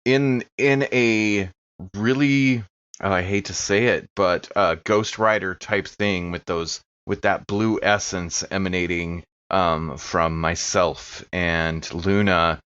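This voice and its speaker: A man playing a tabletop game, improvising vivid descriptions in a halting storytelling manner, on a slightly muffled recording. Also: low voice, fairly even intonation, fairly bright tone, smooth, no echo. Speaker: player in a tabletop game podcast.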